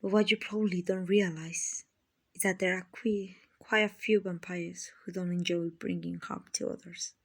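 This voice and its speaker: A woman voicing a kindly, genteel female vampire in a soft, soothing, half-whispered ASMR roleplay, with a breathy, husky voice. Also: fairly low pitch, steady tone. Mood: patient, explaining. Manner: slow, soothing, genteel, endearing. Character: gentle, kindly female vampire, soothing rich slave-buyer.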